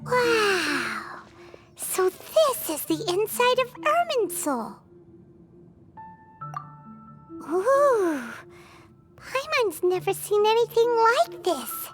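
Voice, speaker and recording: A tiny fairy sidekick from a video game, with an extremely high, squeaky child's voice. The delivery is excitable and indignant, full of exclamations and wide swings in pitch. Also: female voice, slow pace, heavily acted. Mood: amazed. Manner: exclamatory, speaks of self in third person. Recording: music behind the voice.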